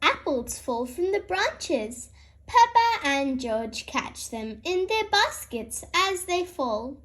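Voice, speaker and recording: A woman's voice: high voice, sing-song, takes it slowly, fairly bright tone, rough recording. A woman reading a children's picture book aloud as host, expressively and aimed at young listeners. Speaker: host and read-aloud storyteller.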